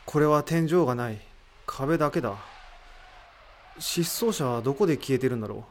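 A man playing a thoughtful, analytical character in a voice drama, deliberate and explanatory, posing rhetorical questions. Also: medium pitch, expressive, a little hiss or hum, close to an everyday voice. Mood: pondering. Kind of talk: amateur voice drama acting.

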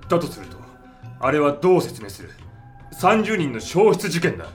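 A man's voice: a little high, huge pitch swings, moderate pace, faint music behind. A man acting in an audio drama as a terse, questioning companion who tests a theory. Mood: probing, thoughtful. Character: probing male companion testing a theory. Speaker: voice actor in an amateur audio drama. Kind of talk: dramatic dialogue.

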